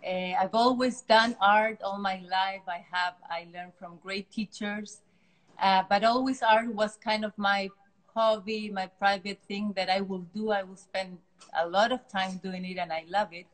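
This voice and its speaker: A woman's voice: mid-range voice, fairly even intonation, low-quality recording. A middle-aged woman from Chile with a Chilean Spanish accent, a native Spanish speaker, talking as a guest in a casual interview with frequent restarts. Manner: warm, halting, frequent restarts. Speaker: artist guest. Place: art studio.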